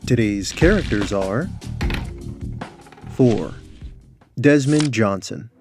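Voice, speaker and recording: A man narrating a scripted tabletop fantasy adventure in a deliberate, theatrical way. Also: medium pitch, lively intonation, over music. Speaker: narrator and game host.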